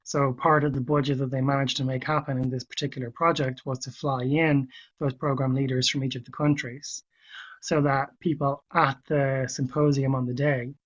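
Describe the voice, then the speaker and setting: A man presents to an online learning group in a measured way, pausing on fillers as he thinks aloud. His voice is husky and hoarse from a cold, at a middling pitch. The dull, lo-fi sound is typical of a webinar recording.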